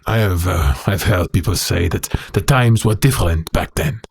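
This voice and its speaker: An actor playing a bitter, haunted werewolf prisoner in an audio drama, speaking in a slow, brooding, halting way with a rough voice. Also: male voice, deep voice, dry recording, clean studio sound, heavily acted. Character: bitter haunted male werewolf prisoner recounting his past.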